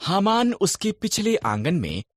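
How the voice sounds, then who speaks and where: A narrator telling scripted Bible stories for children in a deliberate storytelling delivery. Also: medium pitch, wildly swooping pitch, no echo, studio quality. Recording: background music under the narration. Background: music.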